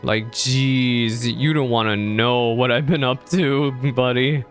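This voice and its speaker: Casual, chatty streamer with mid-pitched voice. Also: steady tone, slow pace. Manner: chatty, informal. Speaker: game streamer. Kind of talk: casual live reaction commentary. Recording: stream with faint game music.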